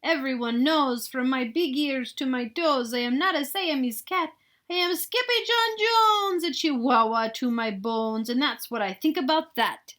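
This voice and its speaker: Woman plays mischievous storybook kitten boy who believes he's a Chihuahua; high, boastful, excitable. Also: unhurried. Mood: insistent. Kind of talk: expressive picture-book read-aloud.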